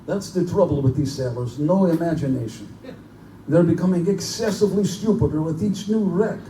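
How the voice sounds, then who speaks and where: A man's voice: a little high, moderate pace, warm tone, natural voice. A man playing a genteel, pompous general who hunts men for sport, in a live theatrical horror drama. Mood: urbane, bored. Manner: urbane, pompous, condescending. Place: live performance in a bookstore. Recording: distant, echoey live room recording with noise.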